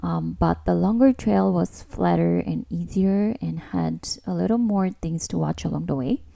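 A woman's voice: on the low side, moderate pace, vocal fry, warm tone, no room sound, studio quality. A woman narrating a travel vlog in a casual, scripted voice-over. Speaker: travel vlogger narrating a trip.

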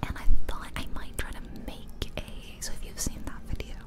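Female ASMR host, whispered delivery, intimate close mic, relaxed and informal gaming chatter. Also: moderate pace. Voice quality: soft, breathy whisper. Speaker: ASMR video host and gamer. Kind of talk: ASMR whispering, casual rambling commentary on a game. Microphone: close ear-to-ear ASMR microphone.

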